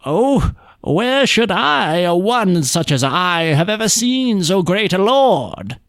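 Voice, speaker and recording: A man acts out a ragged, envious beggar from a storybook. The voice climbs high and drags slowly, cringing with false humility. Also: big pitch swings, a bit bright, no room sound, exaggerated voice. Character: wretched ragged beggar brother, scheming and envious. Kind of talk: folk tale character voice.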